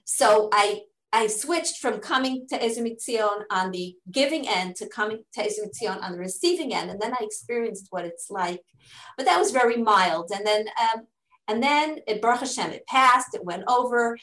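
A woman's voice: a little high, animated, normal speed, fairly bright tone, roomy sound, poor audio. A middle-aged woman, a native English speaker living in Israel, explaining her charity's work in an interview with earnest, step-by-step answers and frequent restarts. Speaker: charity fundraising director.

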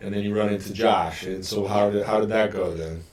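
Casual low-voiced podcast host in an echoey room. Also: male voice, a little fast, far from the mic. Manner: relaxed, slangy, affirming. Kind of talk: casual interview. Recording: echoey room, voice a bit off-mic.

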